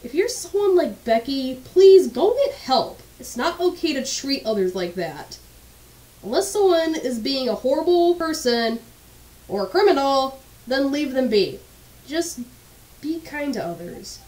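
A young woman narrating her own story in a relaxed, unpolished storytime style. Her voice is a bit stuffy and congested, as if from a cold. She talks bluntly and candidly, swearing casually as she goes. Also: sits high, measured pace, rough recording.

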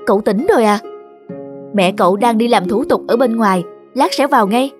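A frank, caring young female friend played by a woman in a cartoon drama, giving concerned advice. Her voice sits fairly high, with clear music behind it. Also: brisk, lightly acted. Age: young adult. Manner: advising, concerned. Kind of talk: animated cartoon dialogue.

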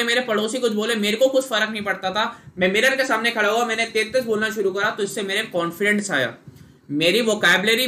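A man's voice: high-pitched, pushed and bright. A young Indian man coaching students in a live online class, explaining patiently and calling on viewers by name. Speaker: SSB interview coach.

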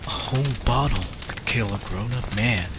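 A man playing a caring, teasing young husband and father in a fan-dubbed animated drama. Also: mid-range voice, normal speed, lightly acted. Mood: concerned. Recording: noisy amateur dub, clicks and birds.

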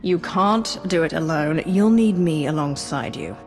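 A woman's voice: deep voice, a little slow, a little mellow, faint music behind. An actress playing a stern woman Sith lord who speaks curtly and coldly.

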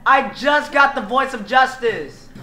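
A male gamer playing a co-op shooter with friends, yelping and shouting through the action. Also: extremely high pitch, a little slow. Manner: excitable, yelps and shouts during action. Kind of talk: excited co-op gaming chatter. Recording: echoey room with crowd and game noise.

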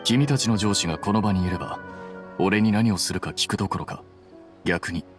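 A man playing a cool, aloof young scholar-official in an anime game, speaking in a measured, condescending deadpan. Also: deep voice, lightly acted. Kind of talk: Japanese anime game voice acting. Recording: music behind the voice.

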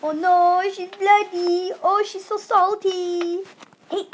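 A woman's voice: very high voice, flat delivery, takes it slowly, a little hiss or hum. A woman giving casual, playful, chatty commentary during a video game roleplay. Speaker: gaming video host.

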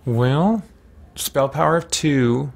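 A man's voice: mid-range voice, takes it slowly, creaky. A man in his forties giving casual gameplay commentary as a streamer, musing and hesitant as he thinks aloud. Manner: hesitant, musing, talking to himself. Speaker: game streamer. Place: small indoor room at home with shelves.

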